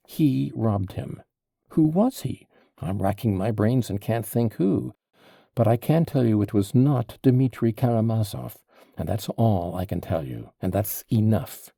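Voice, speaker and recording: A man voicing a desperate, furious young man under arrest, in a gravelly, dark voice. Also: fairly low pitch, no room sound, studio quality, full character voice. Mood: defiant.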